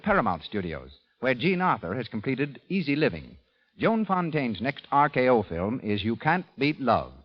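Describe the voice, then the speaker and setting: A man working as an announcer, reading scripted credits and notices in 1930s radio style. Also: mid-range voice. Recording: dull 1930s radio recording, audience noise.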